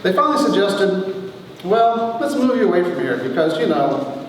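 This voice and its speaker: A man giving a wry dramatic reading of his own humorous story to an audience, with theatrical pauses. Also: sits high, sing-song. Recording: echoey hall from far off, faint noise.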